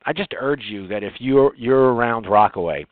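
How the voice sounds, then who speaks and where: A man's voice: medium pitch, dry recording. Male interview host on a narrow, phone-like line. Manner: halting, repeats words as he goes. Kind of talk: conversational interviewing and commentary.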